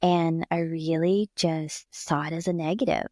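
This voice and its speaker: A woman's voice: deep voice, fairly even intonation, a little slow, dark tone, no room sound, clean studio sound. A woman hosting a podcast, speaking in an affirming, effusive way to her guest. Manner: affirming, effusive, with frequent "yeah" backchannels. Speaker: podcast host. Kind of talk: conversational interview.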